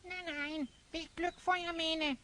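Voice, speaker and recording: A woman's voice: very high-pitched, fairly even intonation, slow, pushed and bright, exaggerated voice. A woman playing a small, eager elf goblin sidekick in a theatrical fantasy audio drama. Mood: eager, plotting.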